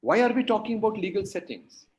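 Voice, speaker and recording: Hindi-urdu speaking guy giving a panel talk, slightly high voice, leans hard on repeated phrases. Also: very animated, a little mellow, slight background noise. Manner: emphatic, rhetorical repetition, drawn-out stresses. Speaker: linguistics academic, panelist.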